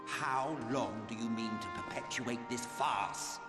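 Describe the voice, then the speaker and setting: A man's voice: medium pitch, a little slow, lightly acted. A man acting an ancient, immortal video game villain, bitter, contemptuous and grieving, with grandiose theatrical delivery. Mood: scornful. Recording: echoey hall reverb, orchestral music behind.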